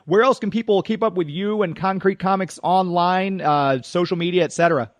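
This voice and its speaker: Higher-pitched man hosting, dry sound. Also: a little fast, dry recording. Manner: affirming, encouraging. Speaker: radio show host, interviewer. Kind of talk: casual radio interview.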